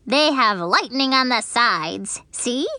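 A woman voicing an energetic, show-off little girl who brags excitedly about running fast. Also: on the high side, dry recording, exaggerated voice. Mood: excited bragging. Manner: bragging, excited.

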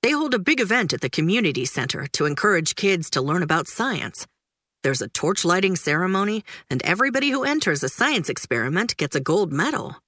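A woman voicing a calm, matter-of-fact father. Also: fairly low pitch, fairly quick, no room sound, studio quality. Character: calm middle-aged dad.

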